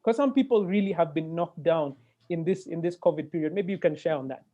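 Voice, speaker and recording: A man with a Kenyan accent moderating a webinar conversationally, animated and given to digressions and interjections. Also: fairly high pitch, brisk. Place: indoor room, video call. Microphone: earphone mic on video call. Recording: dull, lo-fi video-call audio.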